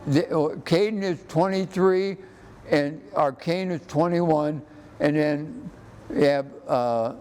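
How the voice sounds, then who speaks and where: Slow-talking older American man, halting. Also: on the high side, a little mellow, clear, resonant tone. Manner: halting, often repeats words. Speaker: interview guest. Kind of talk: conversational reminiscing.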